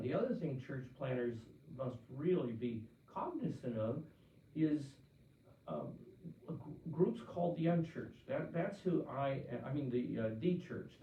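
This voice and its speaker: A man's voice: mid-range voice, gravelly, muffled recording, slight background noise. Distant, roomy-sounding older male pastor. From Pennsylvania. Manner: warm, conversational, with frequent restarts and fillers. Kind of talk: Q&A talk answering listener letters.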